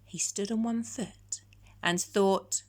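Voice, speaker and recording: A woman reading a children's story in a measured, expressive storytelling manner. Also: medium pitch. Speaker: storytime reader. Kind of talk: scripted children's story narration.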